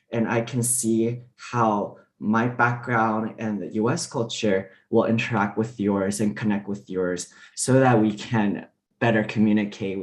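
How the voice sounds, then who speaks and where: A man whose first language is Mandarin, speaking as a diplomat on a panel discussion, relaxed and full of fillers. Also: on the low side. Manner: relaxed, many fillers and restarts.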